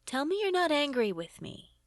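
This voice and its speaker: A woman's voice: high voice, sing-song, moderate pace, no room sound, voice pushed up. Storybook role: magical pixie-like lady, playful yet insistent, coaxing played by a woman, dry close recording. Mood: pleading, coaxing.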